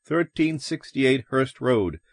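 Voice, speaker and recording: A man's voice: mid-range voice, takes it slowly, dry recording, clean studio sound, pitched above the performer's own voice. A male narrator voicing an agitated, bewildered ordinary man in a dramatic story reading. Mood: agitated, urgent. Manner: urgent, pleading, excitable.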